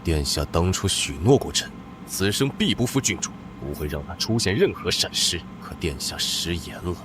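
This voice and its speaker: A deep, expressive, commanding young general. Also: male voice, normal speed, noisy background. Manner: commanding and possessive. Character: fierce devoted general, young man, protective elder brother. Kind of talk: animated drama dub.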